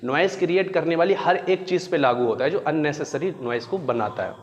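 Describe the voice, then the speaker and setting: A man's voice: fairly high pitch, animated, fairly quick. A man with an Indian accent giving an explanatory lesson in a didactic way, restating terms as a teacher does. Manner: didactic, glosses terms in Hindi and English. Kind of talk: explanatory educational lecture. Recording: echoey hall-like reverb.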